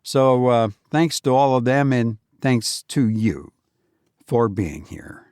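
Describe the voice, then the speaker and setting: A man hosting a podcast and telling stories informally in the first person, with a halting, hesitant delivery. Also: mid-range voice, expressive, darkish tone, clean tone, dry recording, studio quality. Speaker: podcast host and narrator.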